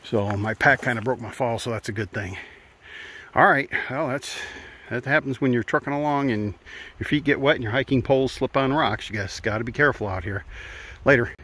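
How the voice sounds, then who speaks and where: A man's voice: medium pitch, fairly quick, a bit bright. Chatty man hiking through woods. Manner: chatty, narrating while walking. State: out of breath at times. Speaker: hiker vlogger. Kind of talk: casual trail vlog. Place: outdoors on a forest trail. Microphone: handheld phone. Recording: faint wind and stream noise.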